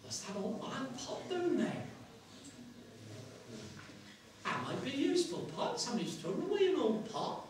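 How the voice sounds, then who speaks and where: A man's voice: very high-pitched, huge pitch swings, brisk, pitched above the performer's own voice, heavily acted. A man voicing a weary but cheerful old Dartmoor washerwoman, in a storytelling performance. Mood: curious. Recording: very echoey room, distant mic, faint noise.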